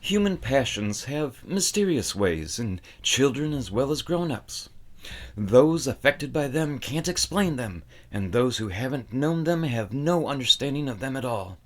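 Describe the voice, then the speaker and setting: A man reading a children's fantasy novel aloud as scripted narration, with dramatic, deliberate phrasing. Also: medium pitch, very animated. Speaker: audiobook reader.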